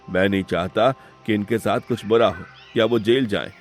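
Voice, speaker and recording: A man's voice: fairly low pitch, moderate pace, faint music behind, close to an everyday voice. A man voicing a wronged but principled younger brother from a village in a melodramatic cartoon moral tale, speaking earnestly and sorrowfully. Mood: sad, resolute. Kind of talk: melodramatic Hindi moral-story cartoon acting.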